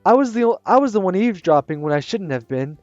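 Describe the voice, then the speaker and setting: A man's voice: high-pitched, sing-song, fast, darkish tone, no echo, dull audio, soft background music. A man voicing a shy, timid teenage schoolgirl who stammers and hesitates.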